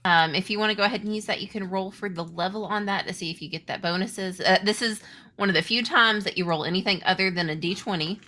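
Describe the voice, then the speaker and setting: A woman's voice: mid-range voice, quick pace, bright, forceful tone. A woman acting as game master, casually explaining tabletop game rules.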